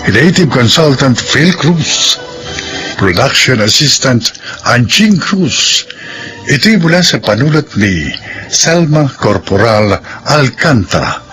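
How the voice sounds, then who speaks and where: A man announcing the credits of a radio drama in a measured, formal way. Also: medium pitch, big pitch swings, fairly bright tone, poor audio. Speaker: program announcer. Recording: radio broadcast with music bed. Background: music.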